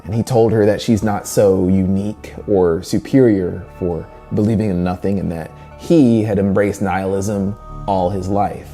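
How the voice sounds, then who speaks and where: Male host, low pitch, dark mellow tone, theatrical video essay narration, music underneath. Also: steady tone, normal speed, hardly any pauses. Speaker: host of a horror literature channel. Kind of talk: scripted video essay narration, storytelling review. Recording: music bed behind the voice. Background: background music.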